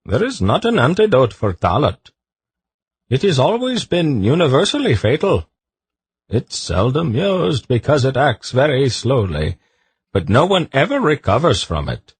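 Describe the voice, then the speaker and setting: A high, husky male eunuch, put on by a man reading an audiobook, laying out grim facts in a cool, matter-of-fact way. The voice sits well above the reader's own and stays raspy. The recording is dry and close. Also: very animated, unhurried, no room sound, heavily acted. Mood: grave, calm. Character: calm, precise male eunuch expert in poisons.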